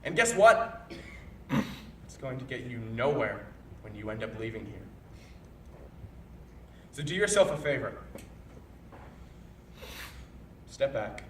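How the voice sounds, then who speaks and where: A young man on stage playing a bitter, reproachful college student who confronts a former friend in an accusatory monologue with deliberate pauses. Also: mid-range voice, fairly bright tone. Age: young adult. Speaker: stage actor. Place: indoor performance space, picked up from far off. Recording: roomy, distant live stage recording, faint noise.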